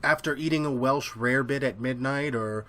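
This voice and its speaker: Chummy, incredulous radio pal played by a man, mid-pitched. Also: normal speed, a bit bright, close to an everyday voice. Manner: incredulous, folksy. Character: skeptical, joshing male friend, chummy and astonished. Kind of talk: 1930s radio drama dialogue.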